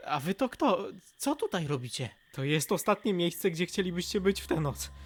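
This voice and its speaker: Adult voicing spooky young boy stranger, foreboding, mid-pitched, cartoon dub. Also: lively intonation, moderate pace, slightly rough. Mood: mysterious. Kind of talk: animated cartoon dub acting.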